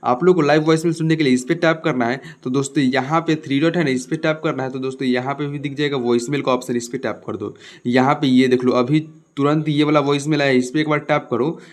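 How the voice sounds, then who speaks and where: A man's voice: mid-range voice, steady tone, fast talker. A man giving a casual step-by-step phone tutorial, addressing viewers as friends and often checking they follow. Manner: repetitive tag questions, addresses viewers as friends. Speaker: tech tutorial host.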